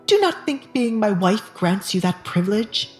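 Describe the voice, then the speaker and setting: A woman's voice: mid-range voice, expressive, moderate pace, echoey room, faint music behind, lightly acted. A woman playing a weary, irritable middle-aged husband with secrets, grumbling dismissively in an audio drama.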